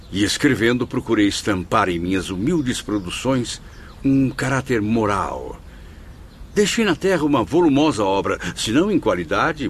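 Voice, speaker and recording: A man's voice: on the low side, slight background noise. An actor in a radio drama playing the spirit of a proud Portuguese male novelist, florid, formal and theatrical.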